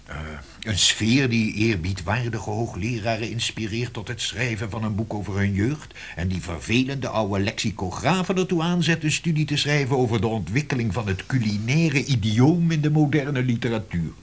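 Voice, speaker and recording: An actor playing a shrewd, teasing publishing boss in a radio drama, coaxing theatrically with pointed pauses. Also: male voice, medium pitch, husky, natural voice. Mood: persuasive, teasing. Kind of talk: Dutch radio drama acting.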